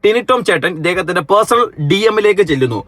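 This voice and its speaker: Bright high-pitched Kerala man, sarcastic commentator. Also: expressive, quick pace. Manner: mocking, sarcastic, reads comments aloud theatrically. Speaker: online commentary host. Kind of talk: casual reaction commentary.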